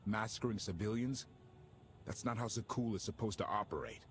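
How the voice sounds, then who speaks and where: A man's voice: on the low side, brisk, lightly acted. A man playing a blunt ex-warship captain. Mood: serious. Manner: casual, plain-spoken. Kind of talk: video game cutscene acting. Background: game music.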